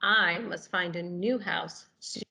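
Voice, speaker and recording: A woman's voice: on the low side, slow pace, gravelly, heavily acted. A woman voicing a polite, timid storybook hermit crab who admires others and speaks expressively. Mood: worried. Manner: expressive, courteous, storybook exclaiming.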